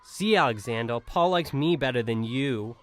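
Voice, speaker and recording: A man's voice: medium pitch, expressive, moderate pace, fairly bright tone, close to an everyday voice. A man playing dismissive, matter-of-fact supporting characters in an acted audio drama. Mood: taunting. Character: male supporting characters: teasing boys and a dentist.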